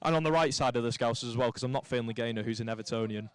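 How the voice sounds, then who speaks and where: A young man from St Helens, giving lively live rugby commentary with chatty asides. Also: medium pitch, very fast, clean tone. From St Helens, England. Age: young adult.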